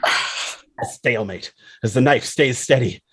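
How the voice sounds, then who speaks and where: A man's voice: mid-range voice, a little slow, a bit bright, husky, no echo. A man reading stage directions as the narrator of a screenplay table read.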